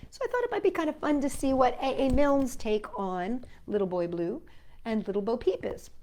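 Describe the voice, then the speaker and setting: A woman's voice: on the high side, animated, moderate pace, darkish tone. A woman, a native English speaker, hosting a chatty, playful storytime for young children, often asking little questions of her listeners. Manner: playful, talks to companion and young viewers with tag questions.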